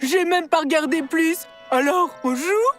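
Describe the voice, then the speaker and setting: Slow, high-pitched excitable cartoon kid. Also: female voice, over music. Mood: excited, confident. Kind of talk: children's cartoon dub.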